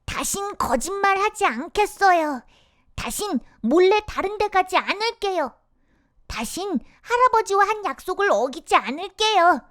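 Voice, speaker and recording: A woman's voice: very high voice, animated, a little slow, fairly bright tone, full character voice. A woman voicing a naive, emotional wooden puppet boy with a childlike voice in a children's storybook. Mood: earnest. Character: naive wooden puppet boy, whiny, eager, easily sorry.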